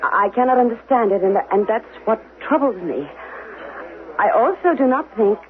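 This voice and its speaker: An actress playing an anxious mother in a regimented future society, speaking haltingly and stiffly formally in a radio drama. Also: fairly high pitch, animated, phone-quality sound, natural voice. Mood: worried, halting. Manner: halting, repeats words, stiffly formal without contractions. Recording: band-limited 1970s radio broadcast.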